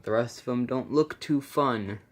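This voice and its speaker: A man's voice: medium pitch, a little slow. A man giving a casual, unscripted hands-on walkthrough, hesitant and rambling, often correcting himself. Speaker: hobbyist vlogger. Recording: noisy handheld camera recording, clicks.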